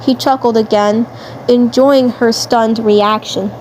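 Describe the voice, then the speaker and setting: A woman reads a fan story aloud as narrator. Her voice is fairly high and very slow, with a halting delivery that repeats phrases. There is faint noise behind her. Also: steady tone, a little mellow, rough recording. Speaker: narrator and amateur fan dubber.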